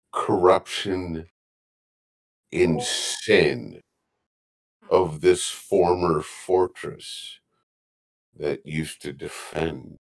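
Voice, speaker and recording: A man with a very low, gravelly voice talks slowly while playing a tabletop game over an online call. He stops and restarts his sentences often. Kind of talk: casual tabletop chat, partly in character.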